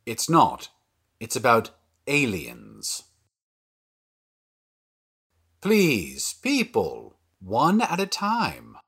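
Polite husky radio producer, smooths things over, lively pitch, old-time drama. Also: male voice, drawn-out words, exaggerated voice. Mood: wry. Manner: courteous, conciliatory, dryly teasing. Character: diplomatic, genteel, level-headed radio theatre producer. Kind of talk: period audio drama dialogue.

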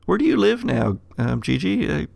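A man's voice: fairly high pitch, sing-song, normal speed, vocal fry, darkish tone, no room sound, clean studio sound, voice pushed up, heavily acted. A man voicing a spacey, friendly young woman in a tabletop game, hesitant and apologetic. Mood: friendly, casual. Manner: hesitant, distracted.

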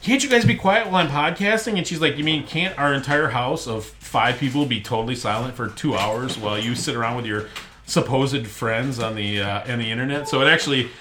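A man's voice: medium pitch, a little fast, sharp tone, a little hiss or hum. A middle-aged man hosting a podcast from home, chatting casually and rambling with self-mocking humor. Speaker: podcast host. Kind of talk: casual chat. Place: home recording room.

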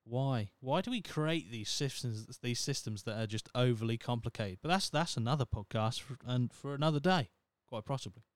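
A man's voice: medium pitch, moderate pace, few pauses, no room sound. A thirty-year-old man, rambling on his solo podcast in a wry, self-mocking way with plenty of swearing. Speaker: podcast host. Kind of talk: casual solo podcast monologue.

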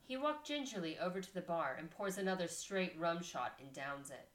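A woman's voice: lowish voice, lively intonation, normal speed, fairly bright tone. A woman co-hosting a casual podcast, reading a story aloud and stopping to chat about it. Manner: reads story text, breaks off to chat and laugh.

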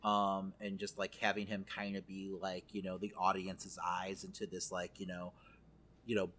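A man's voice: low-pitched, monotone, moderate pace, bright, forceful tone. A man co-hosting a podcast, chatting casually and thinking aloud as he goes. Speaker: podcast co-host. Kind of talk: casual chat about comic book films.

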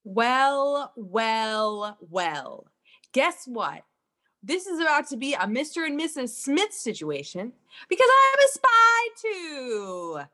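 A woman acts out a sassy, indignant female spy in an improv comedy sketch. Her voice is high, bright and very animated, with emphatic scolding delivery. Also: slow, full character voice. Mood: revealing, smug.

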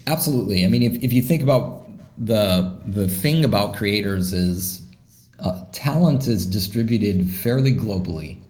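A man answers interview questions in a slightly low voice, thoughtful and loose, full of "you know" and restarts. His voice carries a roomy echo, as if recorded in an open room. He sounds like a founder talking through his ideas. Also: animated, moderate pace, a little mellow. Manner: thoughtful, with many fillers and restarts. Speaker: startup founder, interview guest.

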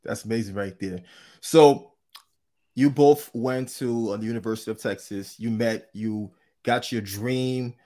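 A man's voice: mid-range voice, unhurried, dark tone. A man hosting an interview podcast, upbeat and chatty, with frequent fillers and restarts. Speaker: podcast host.

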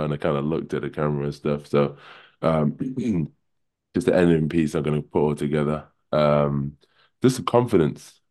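A man with a deep voice, a podcast guest talking in a relaxed, rambling way full of fillers. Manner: relaxed, rambling, many "kinda" and "you know". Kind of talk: casual podcast chat.

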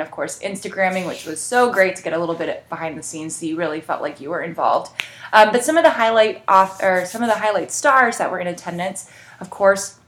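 A woman's voice: lowish voice, a bit bright, reverberant. A woman hosting a podcast, chatting casually through celebrity news with informal, gushing, rambling asides. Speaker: podcast host.

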